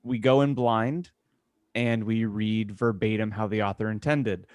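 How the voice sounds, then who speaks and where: A man's voice: lowish voice, steady tone, measured pace, darkish tone, no room sound. A man co-hosting a podcast, explaining the show in casual chat with dry, grumbling humour. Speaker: podcast co-host.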